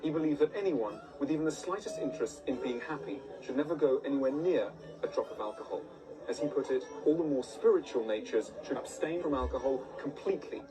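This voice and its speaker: Fast-talking man narrating over music. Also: mid-range voice. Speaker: video narrator. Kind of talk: scripted narration about philosophy. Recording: distant playback with loud music bed.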